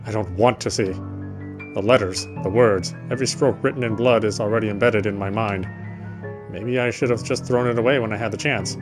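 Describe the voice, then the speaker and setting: A man's voice: fairly low pitch, fairly even intonation, normal speed. A man streaming a game, reading visual novel narration aloud in a dramatic way. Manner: dramatic reading with offhand asides. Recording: game music behind the voice.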